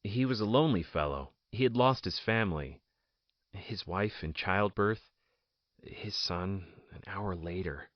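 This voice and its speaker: Man acting a theatrical, low-voiced fallen nobleman politician. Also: dry recording, highs cut off. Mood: somber, reflective. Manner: theatrical, deliberate, emphatic with dramatic pauses. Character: ousted nobleman politician, jailed idealist, bitter and proud. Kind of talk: dramatic first-person audio drama monologue.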